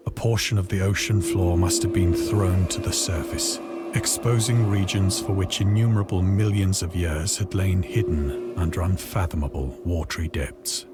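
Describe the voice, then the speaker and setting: Casting: haunted male castaway narrator for a horror game, low-pitched, grave and formal, with prominent music underneath. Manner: grave, formal, measured storytelling. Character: stranded man recounting a cosmic horror ordeal, haunted and grave. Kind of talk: scripted dramatic first-person horror narration. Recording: voice over prominent background music.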